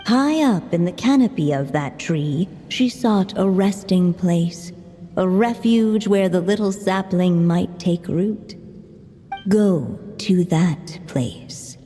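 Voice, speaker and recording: Storybook narrator: solemn, very slow, mellow, music and reverb. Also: female voice, on the low side. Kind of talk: fairy-tale narration in a game.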